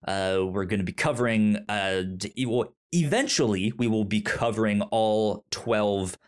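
A man co-hosting a podcast in casual, enthusiastic chat, with a halting delivery and a stutter that repeats syllables and words. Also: fairly low pitch. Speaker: podcast co-host.

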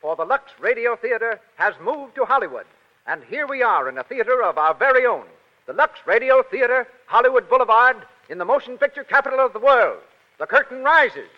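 High-pitched 1930s American radio announcer man. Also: big pitch swings, brisk. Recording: muffled vintage 1930s radio, loud music.

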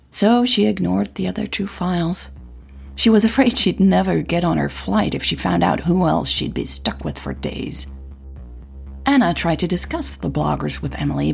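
A woman with a husky, breathy voice narrating a scripted audio drama story. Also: lowish voice, darkish tone.

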